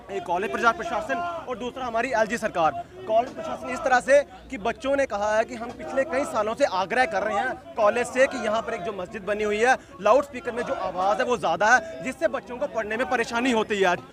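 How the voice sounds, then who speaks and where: A man's voice: very high voice, brisk, crowd noise. A man giving an insistent street interview, repeating phrases as he argues a political point. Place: outdoors at a street protest.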